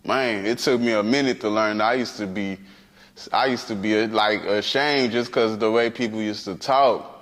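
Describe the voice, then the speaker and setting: A man telling a casual story in a relaxed way, often laughing. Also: on the low side, moderate pace, in a large hall. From United States. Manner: laid-back, laughing storyteller. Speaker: interviewee.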